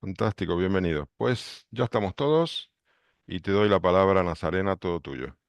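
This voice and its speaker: A man hosting a book club video call, briskly greeting each member. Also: deep voice, lively intonation, clear, resonant tone, dry recording. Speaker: book club director and host. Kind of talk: calling roll on a video call.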